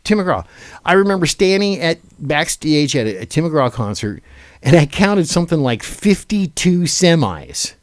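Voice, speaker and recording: A man's voice: a little high, very animated, normal speed, dry recording. A man hosting a casual live panel chat, rambling and thinking aloud as he puts questions to the others. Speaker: radio show host. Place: radio studio.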